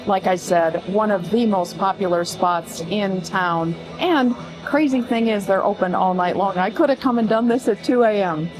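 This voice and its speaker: A woman's voice: mid-range voice, normal speed, few pauses, music in the background. Chatty woman vlogger with a crackly voice. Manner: chatty and enthusiastic. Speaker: vlog host and tour guide. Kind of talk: casual vlog chat to camera. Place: restaurant, indoors.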